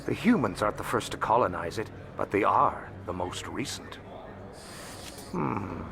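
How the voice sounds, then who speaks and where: An actor voicing a courteous, worldly alien merchant in a video game, speaking formally and musingly. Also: male voice, on the low side, expressive, a little slow, vocal fry, a crowd in the background.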